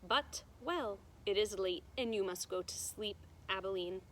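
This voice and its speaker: Stern old grandmother, read by a woman, firm, pointed, bright storybook voice, faint noise behind. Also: medium pitch, measured pace, natural voice, rough recording. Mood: probing. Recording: noticeable background noise.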